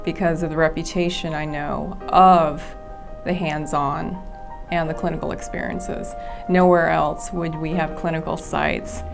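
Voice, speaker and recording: A middle-aged woman speaks in a low voice with audible fry. Her delivery stays very even as she gives a personal testimonial over clearly audible music. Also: a little slow. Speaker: nurse and former chef. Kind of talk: personal testimonial for a promotional video.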